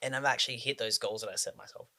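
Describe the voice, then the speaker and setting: A man's voice: medium pitch, fairly even intonation, quick pace, sharp tone. Rambling young man with frequent ums. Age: 20s. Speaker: personal finance vlogger. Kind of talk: casual talk to camera.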